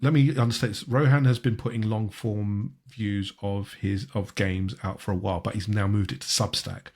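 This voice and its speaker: A man hosting a football podcast in casual, conversational talk, with a husky voice and a halting way of repeating words. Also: fairly low pitch, steady tone, a little mellow.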